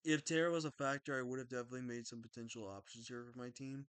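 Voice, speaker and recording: A young man talking casually about his game team, rambling and correcting himself, with a slightly raspy throat. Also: medium pitch, quick pace, no echo. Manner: rambling, self-correcting, excitable. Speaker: draft league player. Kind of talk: casual video commentary.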